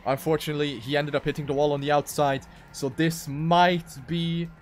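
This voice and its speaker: A man's voice: on the high side, flat delivery, normal speed, soft background music. A man giving live commentary on a video game race.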